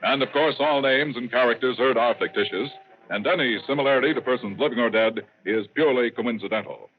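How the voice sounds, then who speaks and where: A man's voice: medium pitch, a little fast, faint music behind. A man announcing credits formally in 1940s radio style. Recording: thin phone-like vintage radio recording.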